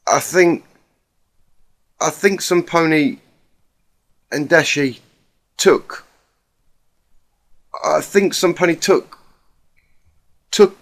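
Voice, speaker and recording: A man voicing a distraught young female country pony, folksy, halting and stammering, in a dramatic storybook reading. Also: on the high side, fairly bright tone, exaggerated voice. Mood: choked, hesitant.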